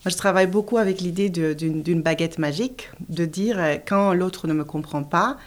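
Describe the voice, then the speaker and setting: A woman's voice: lowish voice, moderate pace. A woman from Argentina, an intercultural coach, answering interview questions in a reflective way, often repeating words as she searches for them.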